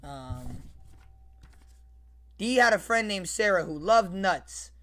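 A young man reading aloud casually on a livestream, swearing, slangy and repeating his phrases in an animated way. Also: high voice, takes it slowly. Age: young adult. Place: small bedroom, indoors. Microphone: close desk microphone with headset.

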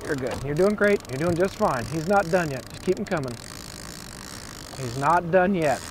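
A man with a fairly high voice gives calm, patient coaching as a fishing guide. He is recorded on an open boat on a river with a lapel mic. Boat and water noise is plainly heard behind him. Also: moderate pace. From Oregon, United States.

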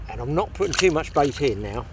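A man's voice: a little high, expressive, a little fast, background noise. Chatty older man by a lake. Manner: chatty, instructive, talks to viewers as guys. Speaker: fishing show host. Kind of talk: casual outdoor fishing commentary. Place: outdoors at a lakeside.

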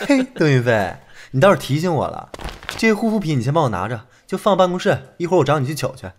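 A man's voice: a little high, fast talker, slight background noise. An actor playing a playful, cheeky young male cop who moonlights as a chatty beauty streamer in an audio drama. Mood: casual. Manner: animated, teasing, casual. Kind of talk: Chinese audio drama acting.

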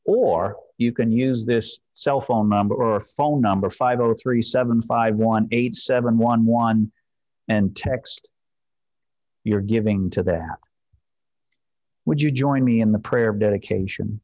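A man in his fifties preaching informally as a pastor in a measured, gently encouraging way. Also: fairly low pitch, dull audio. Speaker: pastor leading a church service. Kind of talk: informal sermon and prayer. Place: church sanctuary, at a wooden pulpit.

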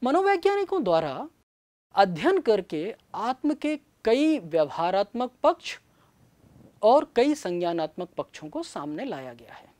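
A middle-aged man giving a scripted classroom lecture in a studio on a lapel mic, measured and explanatory. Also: high-pitched, very animated, darkish tone. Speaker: teacher. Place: studio with backdrop.

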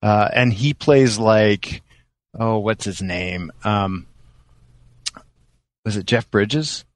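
Man with lowish voice, dry sound. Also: extremely slow, no echo. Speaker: podcast co-host. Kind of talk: casual chat about movies.

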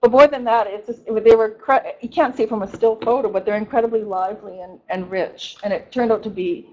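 A woman's voice: a little high, fairly even intonation, fairly quick, a little mellow, dull audio. Woman lecturing with many restarts. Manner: conversational, frequent restarts and self-corrections. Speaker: university researcher giving a lecture. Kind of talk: academic presentation.

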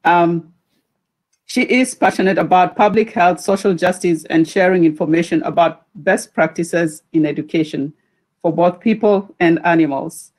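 A woman moderating a video-call conference, introducing the next speaker in an informal way with pauses. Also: on the low side, darkish tone.

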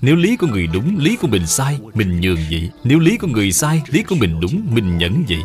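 A man playing a stern, wise father in an educational cartoon, teaching in a measured, authoritative way. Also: mid-range voice, wildly swooping pitch, a little mellow, soft background music. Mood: grave, teaching. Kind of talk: dubbed educational cartoon acting.

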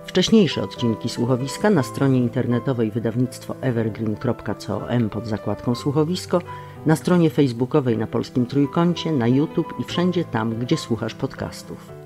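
A man's voice: mid-range voice, expressive, normal speed. A man working as an announcer, reading scripted radio drama credits. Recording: music under the voice.

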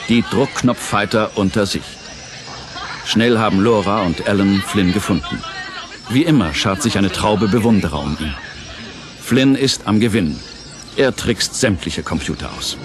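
A man's voice: lowish voice, expressive, measured pace. A man narrating a scripted audio play in a dramatic storytelling style.

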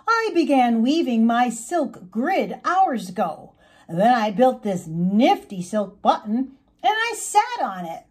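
A friendly, knowing caterpillar fellow in a storybook, played by a woman reader. He explains in a high, animated voice, taking his time. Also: slow, husky, exaggerated voice. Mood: proud, explaining.

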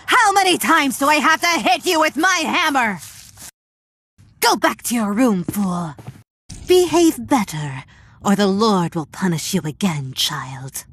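Menacing evil nun, swooping pitch. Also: female voice, expressive, measured pace, a bit bright, husky, full character voice. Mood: angry scolding. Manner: scolding, threatening, theatrical. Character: menacing, fanatical evil nun, cruel strict schoolmistress. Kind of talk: horror video game character acting.

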